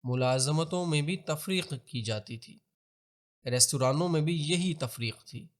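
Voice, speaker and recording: A man with a Pakistani accent reads scripted historical narration in a formal, measured, expository manner. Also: fairly high pitch. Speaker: documentary narrator.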